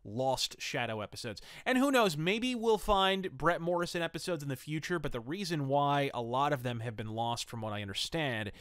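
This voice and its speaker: A man presents an enthusiastic review in a fairly high voice, recorded dry and close. His language is ornate and wordy, broken up by blunt, conversational asides. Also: lively intonation, moderate pace, few pauses, fairly bright tone, smooth, no room sound. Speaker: podcast host and reviewer. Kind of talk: enthusiastic semi-scripted radio drama review.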